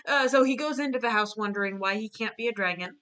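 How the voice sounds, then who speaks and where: A woman's voice: fairly high pitch, fast, fairly bright tone, smooth. A woman casually presenting her own drawn storyboard in a joking, mock-earnest way, as the illustrator.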